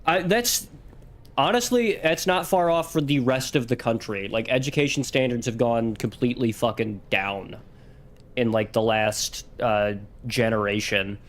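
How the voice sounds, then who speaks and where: A man's voice: medium pitch, measured pace. Sick man, blunt profane chatter. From Louisiana. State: sick, clearing his throat. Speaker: streamer co-host. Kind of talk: casual let's-play chat.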